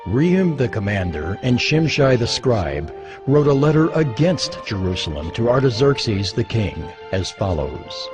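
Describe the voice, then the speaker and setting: A man reads scripture as a dramatic narrator. His medium-pitched voice moves expressively through solemn storytelling, with lively intonation and clear music underneath. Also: unhurried.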